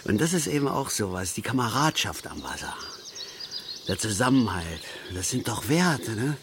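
A man's voice: on the low side, very animated, moderate pace. An actor playing a grumbling, opinionated middle-aged angler and father in a radio drama, lecturing and blustering with questioning tags. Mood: bitter. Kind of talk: naturalistic radio drama dialogue. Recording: faint outdoor background noise.

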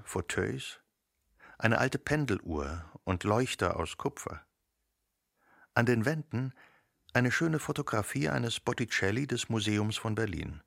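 A man with a gravelly voice narrating a literary radio drama from a script. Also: fairly low pitch, fairly bright tone, dry recording, clean studio sound, exaggerated voice.

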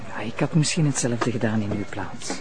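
A woman's voice: extremely low pitch, brisk. An actress playing an evasive, flustered but firm married woman with a Flemish accent and hesitant delivery in TV drama. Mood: subdued. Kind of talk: naturalistic TV drama acting.

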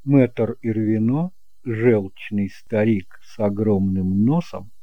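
A man's voice: fairly low pitch, steady tone, slow pace, dry recording, narrow, tinny audio. A man reading scripted dramatic narration for an audiobook. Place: close, dry home recording.

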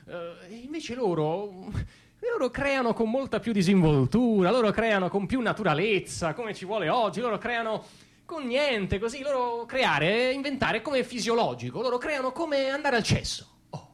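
A man delivering a conversational, satirical theatre monologue in an ironic, hesitant way, with frequent pauses and asides. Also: very high-pitched, animated. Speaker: stage monologist.

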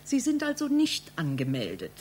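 A woman's voice: on the high side, huge pitch swings, normal speed, a little mellow, slight background noise. A woman acting in a German radio play as an officious, unruffled receptionist, formal, insistent and reproachful. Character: officious, unruffled female receptionist guarding a director's anteroom.

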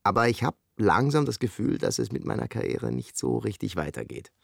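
A man's voice: medium pitch, big pitch swings, brisk, vocal fry, slightly rough, no echo, studio quality, exaggerated voice. A man playing a disgruntled warrior son of a king, complaining earnestly in a comic radio play.

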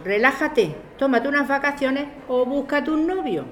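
A woman acts as a nosy, protective friend in a radio play. She is blunt and familiar, with a fairly high voice. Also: lively intonation, normal speed, fairly bright tone, reverberant. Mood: teasing concern. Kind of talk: acted radio drama dialogue.

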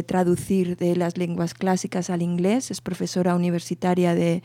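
A woman with a low, mellow voice talks through her poetry project in a radio interview, circling back and restarting as she thinks. The sound is dry and close, with no room around her. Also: no echo. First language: Spanish. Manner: thoughtful, circling restarts, steady explaining. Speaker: poet guest.

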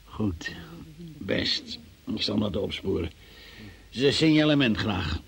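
An actor playing a stern magistrate in a radio drama, questioning a suspect in an insistent, patronising and sceptical manner. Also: male voice, fairly low pitch, lively intonation, slow, gravelly, a bit bright, slightly rough, heavily acted.

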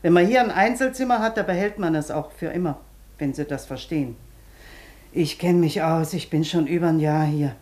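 A deep-voiced woman played by an actress in a radio play. She is a long-term patient who bosses and chatters. Her manner is blunt, knowing and colloquial. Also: moderate pace, darkish tone, natural voice. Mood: chatty, knowing. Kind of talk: German radio play acting.

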